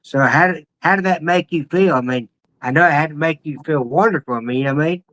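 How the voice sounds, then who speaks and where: A man hosting a casual interview over a video call, asking questions in a halting way with many restarts. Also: on the high side, a bit bright. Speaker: interview host.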